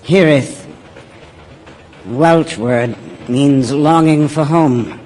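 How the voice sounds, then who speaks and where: An actor playing a quiet, wistful traveler, speaking in terse, musing phrases in an audio stage play. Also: male voice, on the high side, extremely slow, slight background noise. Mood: calm, wistful.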